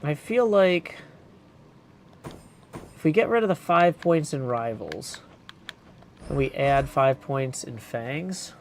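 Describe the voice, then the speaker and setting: A man's voice: on the high side, expressive, slow, creaky, long pauses, a little hiss or hum. A man doing casual gaming commentary as a live streamer, thinking aloud playfully and reading chat.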